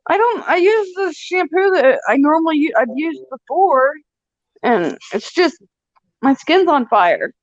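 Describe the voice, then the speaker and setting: A woman's voice: sits high, animated, a little slow, no echo. A woman in her twenties chatting playfully as a guest on a live stream. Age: 20s. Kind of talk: casual chat. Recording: dull, dry call audio.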